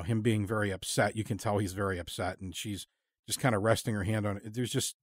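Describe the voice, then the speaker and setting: A man hosting a casual interview, speaking in an earnest, rambling and halting way. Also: on the low side, a little mellow, no room sound, clean studio sound. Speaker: podcast host and interviewer.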